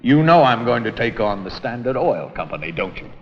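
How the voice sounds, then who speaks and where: Man playing forceful American president, animated and echoey. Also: medium pitch, a little fast, lightly acted. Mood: hearty, combative. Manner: emphatic, oratorical, declarative. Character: forceful, blustery male American president and reformer. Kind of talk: educational film re-enactment acting. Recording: muffled old 16mm film, reverberant room.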